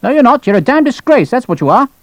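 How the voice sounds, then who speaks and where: A man's voice: very high voice, sing-song, fairly quick, a little mellow, no room sound, voice pushed up. A man voicing an irascible, pompous, aristocratic British army colonel in an audiobook, barking and imperious. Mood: irritable, imperious. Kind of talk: audiobook character voice.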